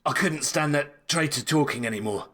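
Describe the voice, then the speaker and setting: A man's voice: a little high, normal speed, bright, forceful tone. A man as a stern battle-worn agent. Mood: pained, urgent. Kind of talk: audio drama acting.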